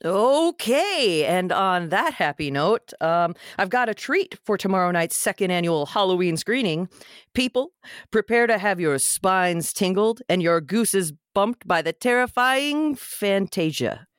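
An awkward, sardonic young man in a comedy-horror table read, read by a woman. The voice is very animated and recorded dry. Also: medium pitch, a little slow, clean tone, no room sound, lightly acted. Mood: eager, flustered.

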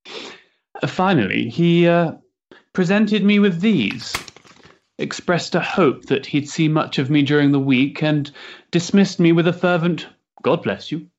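Period drama: actor as restless young gentleman politician, polite halting delivery, lightish pitch, dull recording. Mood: amused, dry. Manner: hesitant, polite, mannered upper-class diction. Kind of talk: period stage-play acting.

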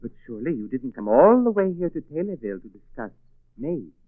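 Guarded schemer, formal, wary, raised pitch, very changeable intonation, old narrow-band radio recording. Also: male voice, sing-song, fairly quick, no echo. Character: guarded, suspicious husband hiding a murderous scheme. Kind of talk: 1940s radio acting. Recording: muffled 1940s radio recording, phone-like band.

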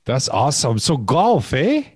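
Friendly man from Canada hosting, casual, quick to affirm. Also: mid-range voice, huge pitch swings, slightly rough. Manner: friendly, repeats short affirmations. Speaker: podcast host. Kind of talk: casual interview chat.

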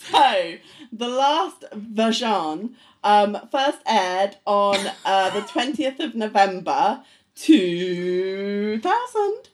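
A woman's voice: medium pitch, big pitch swings, extremely slow. A woman co-hosting a podcast in casual chat, giggling often as she reads out facts. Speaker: podcast co-host.

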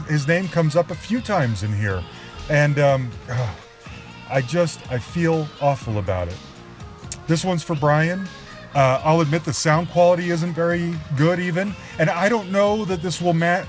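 A man giving a personal spoken introduction as a podcast host. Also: on the high side. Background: music.

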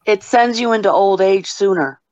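An older woman speaking as a panelist in a casual online discussion, reflective and measured. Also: medium pitch, darkish tone, no room sound. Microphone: video call. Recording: dull, dry lo-fi call audio.

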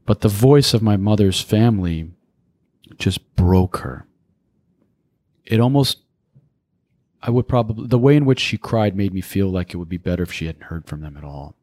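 An American man around forty, reflecting on his life as an interview guest in a measured, deliberate way. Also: lowish voice, expressive, warm tone, dry recording. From United States, raised in small-town Pennsylvania. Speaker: podcast guest, speaker. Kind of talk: reflective personal storytelling in an interview.

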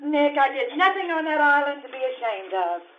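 A woman's voice: high voice, expressive, normal speed. A woman playing a coy, teasing and indignant wife in a stage radio comedy. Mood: indignant, pleading. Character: teasing, indignant wife returned from island exile. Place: live stage show at an arts center. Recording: dull, noisy live theatre recording.

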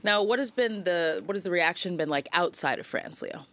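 A man's voice: high-pitched, animated, normal speed, pushed and bright, no echo. A man anchoring a live news programme, introducing segments and handing over to colleagues.

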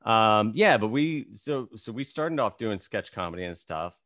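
A man in his thirties hosting an interview show, relaxed and rambling, with many fillers. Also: fairly low pitch, normal speed, no echo, clean studio sound. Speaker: podcast host. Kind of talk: casual interview chat.

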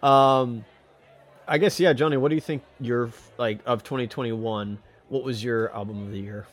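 A man co-hosting a podcast, chatting casually and putting questions in a halting, rambling way. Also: medium pitch, steady tone. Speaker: podcast co-host. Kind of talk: casual chat, asking questions.

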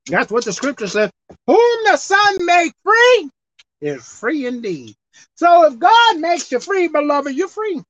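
A woman preacher delivering a sermon with animated, call-and-response delivery, acting out bits of dialogue. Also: sits high, a little slow, no room sound. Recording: dull lo-fi dry sound.

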